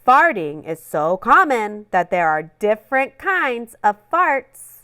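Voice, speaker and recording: A woman reading a funny children's picture book aloud in a playful, animated way, with little acted asides. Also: fairly high pitch, takes it slowly, smooth. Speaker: storybook reader.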